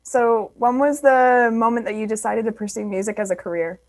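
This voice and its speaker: A woman interviewer with a fairly high voice, upbeat and friendly, tossing in short affirmations. The sound is dry and close, with no room around it. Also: steady tone, a little fast, darkish tone, no room sound. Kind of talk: casual video call interview. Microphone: video call.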